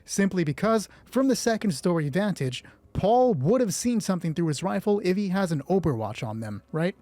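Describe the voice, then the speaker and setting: A man's voice: high-pitched, very animated, moderate pace, mellow, no echo. A man hosting a solo tabletop roleplay, improvising narration and thinking aloud as he corrects himself. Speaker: solo roleplay host and game master.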